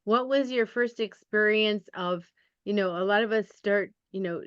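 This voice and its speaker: A woman's voice: medium pitch, normal speed, smooth, dry recording. Woman podcast host, dry dull recording. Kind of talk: read introduction and casual interview.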